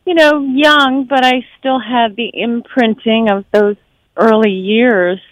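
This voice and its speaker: A woman's voice: fairly high pitch, steady tone, slow, no room sound, rough recording. A middle-aged woman from Japan who grew up in America and speaks with an American accent, chatting reflectively as an interview guest. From born in Japan, grew up in the United States. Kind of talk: casual conversational interview.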